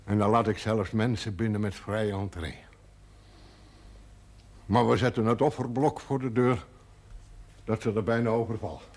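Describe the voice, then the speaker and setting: An actor playing a gruff, stubborn, earnest rural man in a naturalistic television period drama. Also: fairly low pitch, steady tone, moderate pace, pauses often, a little mellow. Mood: firm. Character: gruff, stubborn rural man, former poacher turned faith healer. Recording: 1970s TV audio with faint noise.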